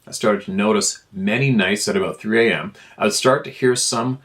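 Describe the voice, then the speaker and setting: A man narrates a written first-person account. His voice sits a little low, and he reads informally, as someone sharing emails from listeners. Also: moderate pace, a bit bright. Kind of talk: informal read-aloud of a first-person story.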